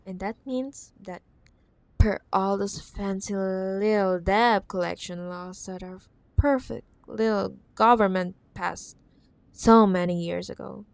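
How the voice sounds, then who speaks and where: A woman's voice: medium pitch, creaky, a little mellow, no room sound. A woman playing a possessive, menacing corporate CEO ex-girlfriend in a roleplay ASMR drama, mock-sweet and teasing, sometimes dropping to a whisper. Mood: smug threatening.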